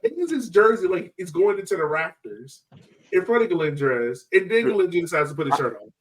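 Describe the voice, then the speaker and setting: A man co-hosting a soccer podcast, telling match stories casually in an excitable, animated way. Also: high voice, brisk. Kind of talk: casual sports chat.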